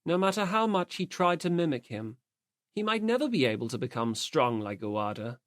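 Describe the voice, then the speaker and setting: A woman's voice: low voice, big pitch swings, fairly quick, no echo, clean studio sound. A woman reading scripted story narration as an audiobook narrator.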